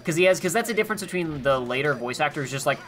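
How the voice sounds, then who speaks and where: A man's voice: a little high, animated, very fast, fairly bright tone. A man co-hosting a game playthrough, chatting casually and rambling with restarts. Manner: rambling, self-correcting. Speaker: let's play co-host. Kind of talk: casual chat over gameplay. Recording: faint game music behind.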